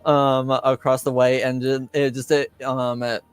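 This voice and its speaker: A man narrating a tabletop roleplaying game as game master, haltingly, with constant filler words. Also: medium pitch, level tone, clean tone, no echo. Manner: halting, hesitant delivery with very frequent filler words.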